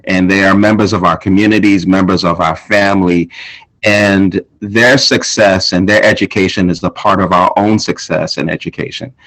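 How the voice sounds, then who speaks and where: Man from Zambia, school volunteer; calm, measured, reflective, lowish voice. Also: low-quality recording. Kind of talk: informal recorded conversation.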